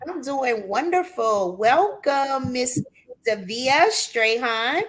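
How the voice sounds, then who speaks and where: A woman hosting a live talk show, loudly hyping her audience and calling guests "queen". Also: high voice, expressive, slow pace, rough recording. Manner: hypes the audience with "y'all" and "queen".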